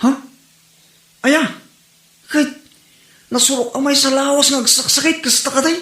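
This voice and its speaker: Man acting nosy chatty neighbour, extremely high voice, comedy drama, echoey room. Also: fairly even intonation, normal speed, sharp tone. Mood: inquisitive. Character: nosy, chatty male neighbour checking up on a friend.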